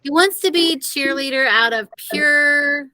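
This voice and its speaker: A woman voicing a firm, fair-minded female teacher and coach in a children's audiobook, speaking in a measured, admonishing manner. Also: high voice, sharp tone, no room sound, voice pushed up. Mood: firm, reasoning. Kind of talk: children's audiobook character reading.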